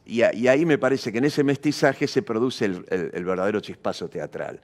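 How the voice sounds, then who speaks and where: Low-pitched Argentine man, reflective and erudite. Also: brisk, darkish tone. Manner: abstract, erudite, searching phrasing with repeated tag questions. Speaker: interviewee, theatre practitioner. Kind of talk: reflective intellectual interview talk.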